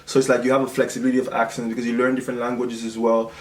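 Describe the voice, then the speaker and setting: A man's voice: mid-range voice, little pitch movement, rapid-fire. Young man in an echoey room. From Cameroon. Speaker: host of a video channel. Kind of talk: casual conversational discussion. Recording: echoey room with some background noise.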